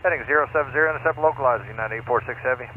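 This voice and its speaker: A thin, phone-like radio transmission with clear noise carries a male airline pilot. He reads instructions back extremely fast in terse procedural phrasing. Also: mid-range voice. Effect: aviation radio. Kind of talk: radio readbacks. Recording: phone-like noisy aviation radio.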